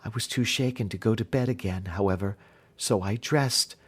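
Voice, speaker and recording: A troubled young gentlewoman of a Victorian storybook , voiced by a man reading aloud. She speaks earnestly and formally, carefully laying out her account. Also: lowish voice, moderate pace, slightly rough, voice pushed down. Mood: anxious. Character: Helen, distressed young gentlewoman recounting her sister's death.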